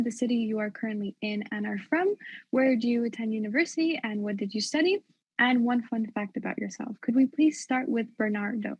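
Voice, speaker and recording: A woman's voice: a little high, darkish tone, breathy edge, dry recording, low-quality recording. A woman hosting an online student panel, laying out its order in a scripted, orderly way. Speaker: panel moderator. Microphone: video call.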